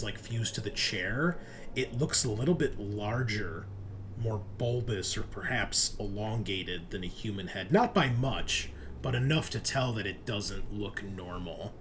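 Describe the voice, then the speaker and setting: A man's voice: medium pitch, slight background noise. A man narrating a tabletop horror roleplaying game as game master, in a conversational, descriptive, improvised way.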